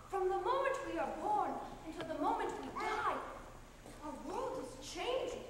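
A woman acts as a theatrical narrator telling a comic fable on stage. Her voice is very high and declamatory, ringing through a very echoey hall with faint noise. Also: full character voice.

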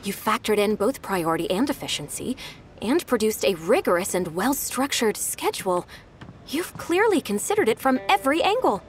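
A woman's voice: on the high side, sing-song, normal speed. A woman voicing a polite, efficient young secretary in a game, courteous and encouraging. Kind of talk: game dialogue.

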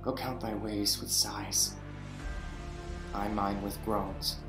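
A man reads a deposed king, grieving and bitter. The verse comes slowly, swelling sharply and then sinking low. He is in a roomy, echoing space on a webcam. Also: flat delivery, over music. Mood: sorrowful. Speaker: actor in a staged reading. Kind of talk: Shakespeare verse reading. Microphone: webcam on a video call.

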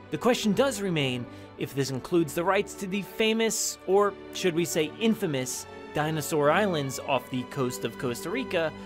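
An actor plays a brisk newsman in a radio play. His formal report moves with lively intonation, with soft music behind it. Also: a little high, natural voice. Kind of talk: radio drama news reading.

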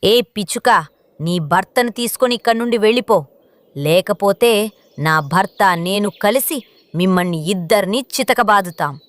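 A woman's voice: mid-range voice, expressive, normal speed, no echo, close to an everyday voice. A woman voicing a haughty, conniving female crow wife in a children's cartoon story. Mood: threatening.

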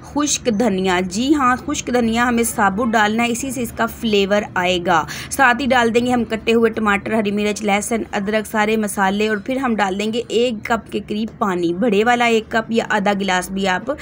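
A woman's voice: medium pitch, moderate pace, hardly any pauses. A woman with a Pakistani accent narrating a cooking vlog as host, giving step-by-step instructions and repeating key words for emphasis. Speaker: home cook and cooking channel host. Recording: clearly noisy home recording.

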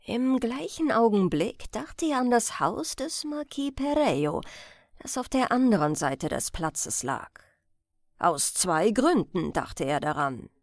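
A woman's voice: a little high, big pitch swings, takes it slowly, dry recording, clean studio sound. A woman narrating a crime audiobook as a scripted reading.

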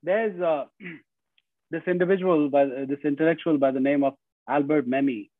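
A man's voice: a little high, fairly even intonation, normal speed, no echo. Indian man lecturing over a tinny call. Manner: earnest lecturing, frequent "you know" fillers and restarts. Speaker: guest speaker. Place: home room, indoors. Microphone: video call with earbuds. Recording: dry, tinny video call audio.

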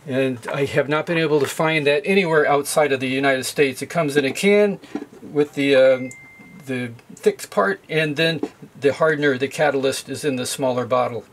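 A man's voice: medium pitch, moderate pace, slight background noise. A middle-aged man demonstrating at a boat's galley sink, explaining steps in a plain, methodical way with occasional fillers. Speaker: sailing how-to video host. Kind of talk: hands-on demonstration.

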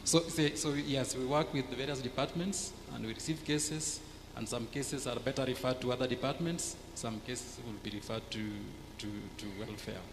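A middle-aged man with a Kenyan English accent speaks in a gravelly, mid-pitched voice. He explains patiently on a church panel, often pausing on fillers. The sound is roomy, with clear background noise. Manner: thoughtful, hesitant fillers, gesturing. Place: church TV studio set, seated. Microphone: handheld mic. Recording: echoey hall with noticeable noise.

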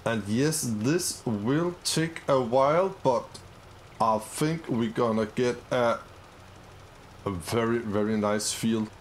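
Upbeat, slow-talking gamer man. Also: mid-range voice, a little hiss or hum, rough recording. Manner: upbeat, easily excited, many fillers. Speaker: gaming channel host. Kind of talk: casual let's-play commentary.

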